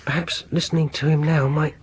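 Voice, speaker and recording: A man's voice: on the high side, little pitch movement, brisk, slightly rough. An actor playing a fussy, solicitous host of music gatherings in a radio horror drama, a British man with a hesitant, polite, precise manner. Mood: hesitant, coaxing. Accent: British English.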